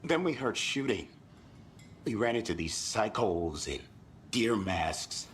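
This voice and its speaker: A rattled tourist witness. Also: male voice, fairly low pitch, very animated, takes it slowly, slight background noise. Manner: blunt, rattled. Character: jittery male tourist witness.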